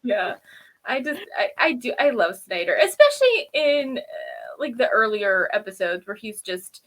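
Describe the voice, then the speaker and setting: Female review co-host, higher-pitched and very bright, rambling through a casual recap with frequent giggles. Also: normal speed. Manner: giggly, rambling, animated storytelling. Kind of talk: casual chatty episode recap.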